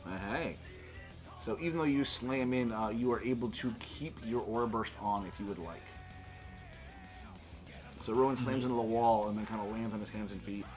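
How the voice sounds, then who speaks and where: A man's voice: on the low side, normal speed, long pauses, a little mellow. A man acting as game master, narrating and refereeing a tabletop role-playing game. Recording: music playing behind the voice. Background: music.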